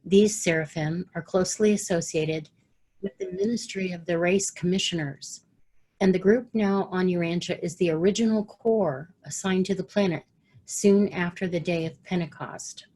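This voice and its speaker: A woman's voice: on the low side, moderate pace, mellow, slightly rough, rough recording. A woman presenting an online study webinar, reading passages steadily and moderating the discussion. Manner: reads passages steadily, then moderates the call.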